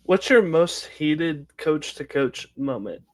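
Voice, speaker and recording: A man's voice: a little high, animated, takes it slowly. A man hosting an interview, asking short, direct questions. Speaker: interviewer, podcast host.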